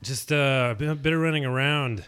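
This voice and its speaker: A man's voice: mid-range voice, fairly quick, a bit bright, clear, resonant tone. A man co-hosting a radio show from a studio, chatting in a playful, boastful, joking way. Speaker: radio co-host. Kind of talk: casual radio banter.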